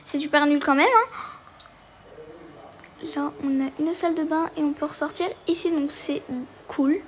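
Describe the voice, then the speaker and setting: Woman, high voice, casual game commentary, hesitant and chatty, faint room noise. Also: steady tone, moderate pace. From Portugal. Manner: hesitant, many fillers, chatty. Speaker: gaming video creator.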